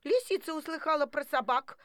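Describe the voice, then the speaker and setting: A woman narrating animal fables in an expressive storybook style. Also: high voice, brisk, no echo, studio quality.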